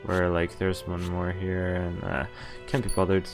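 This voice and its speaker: A man with a lowish voice chats casually on a game livestream. His delivery is slow and halting, and thoughts tend to trail off. Soft music plays faintly behind him. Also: monotone. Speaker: game streamer.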